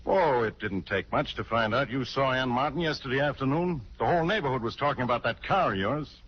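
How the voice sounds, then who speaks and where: Male actor as exasperated cop, medium pitch, blunt interrogator, bossy, vintage radio acting, muffled old recording. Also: expressive, fairly quick, close to an everyday voice. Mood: accusing. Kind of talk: 1940s radio detective acting.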